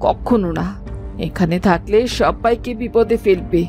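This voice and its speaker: A woman's voice: mid-range voice, unhurried, close to an everyday voice. A woman voicing a cruel, bossy older village mother-in-law in a cartoon, scolding and commanding. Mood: stern, dismissive. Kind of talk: cartoon moral story voice acting. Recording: loud background music.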